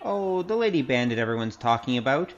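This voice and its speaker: A man's voice: medium pitch, sing-song, brisk, lightly acted. A man playing a wry, cocky wandering gambler in an audio drama, sardonic and teasing. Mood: wry, needling. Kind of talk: audio drama acting with spoken self-narration. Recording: dull, slightly noisy audio.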